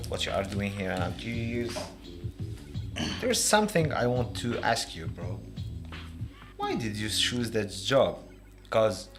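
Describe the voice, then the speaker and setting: A man with an Algerian accent hosting a casual interview, halting as he searches for his words. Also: lowish voice. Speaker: podcast host, interviewer.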